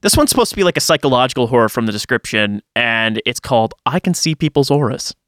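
A man chatting casually as a podcast co-host, joking in an animated way and swearing freely. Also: mid-range voice, quick pace, dry recording. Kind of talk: casual chat.

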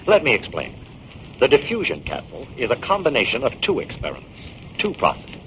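A man's voice: mid-range voice, huge pitch swings, brisk, fairly bright tone. A man playing a firm, earnest scientist crime-fighter with an authoritative manner in a 1940s radio drama. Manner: authoritative, explanatory. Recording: muffled, noisy 1940s radio recording.

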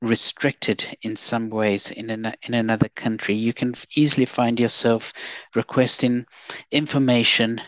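A man from South Africa with a South African accent, a guest expert answering at length in a podcast interview, measured and listing points. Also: medium pitch, level tone, fairly bright tone, dry recording, poor audio. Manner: measured, restarts words, lists points.

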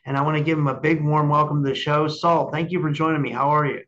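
A man's voice: a little high, steady tone, quick pace, fairly bright tone. A man hosting a podcast over a video call, chatting casually and often repeating his words as he goes. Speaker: podcast host. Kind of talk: casual interview chat. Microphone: remote video call. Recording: dull lo-fi call audio.

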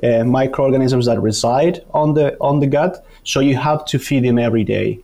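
A man from Argentina with a Spanish-speaker's Argentine accent talks as an expert guest. His pitch sits in the middle, and he explains in a halting way, often repeating small words as he builds each point. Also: warm tone. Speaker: guest, university dairy researcher. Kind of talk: explanatory interview talk.

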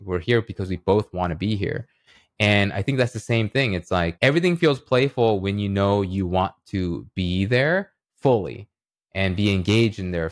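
A man's voice: fairly low pitch, no echo, studio quality. A man talking casually as a podcast guest, explaining ideas and checking in with "right?" as he goes. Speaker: podcast guest, writer and illustrator. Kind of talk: casual conversational podcast talk.

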